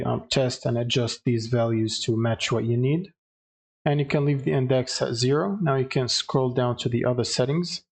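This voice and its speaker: A man narrating a step-by-step software tutorial with hesitant, halting phrasing. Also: medium pitch. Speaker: tutorial presenter.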